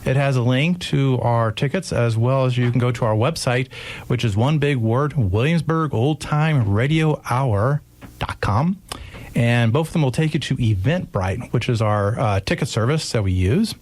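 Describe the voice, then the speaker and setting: Chatty man with a mid-pitched voice. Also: moderate pace, a little mellow. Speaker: radio show sound-effects performer, interview guest. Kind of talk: casual interview explanation.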